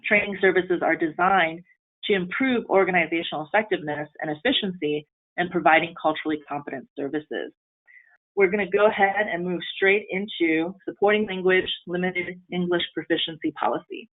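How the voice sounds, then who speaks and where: A woman's voice: on the low side, fairly quick. A woman from Taiwan whose first language is Mandarin Chinese, presenting a training webinar in an earnest way with frequent ums and restarts. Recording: tinny phone-line audio.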